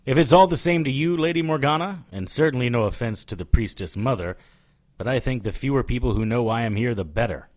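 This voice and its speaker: An actor playing a tough, wry investigator who narrates his own adventures in a deadpan, hardboiled audio drama style. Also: medium pitch, brisk, natural voice. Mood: firm, guarded.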